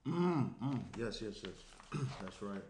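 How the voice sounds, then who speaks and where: A man acting an earnest, deep-voiced young fiancé. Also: expressive, darkish tone. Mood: awkward, hesitant. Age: young adult. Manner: halting, hesitant, circling the point. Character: awkward, earnest young professional fiancé, fussy. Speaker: actor reading a lead part. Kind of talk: screenplay table read, naturalistic. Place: small indoor room around a table. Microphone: shared USB tabletop microphone. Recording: roomy echo, clear noise.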